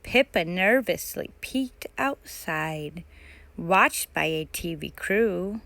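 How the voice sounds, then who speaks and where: A woman's voice: on the low side, expressive, takes it slowly, creaky, a bit bright, dry recording. A woman narrating a children's picture book aloud as a storytime reader.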